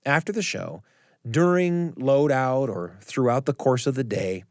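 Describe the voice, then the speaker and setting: A man narrating a scripted story as a host, using dramatic pauses to build suspense. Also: medium pitch, animated, a little mellow, no room sound, clean studio sound.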